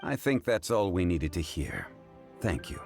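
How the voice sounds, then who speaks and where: Dignified game consultant, very deep voice, expressive intonation, formal measured delivery, faint noise. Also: male voice, vocal fry, soft background music, heavily acted. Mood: approving. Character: composed, dignified older male consultant. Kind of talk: video game voice acting.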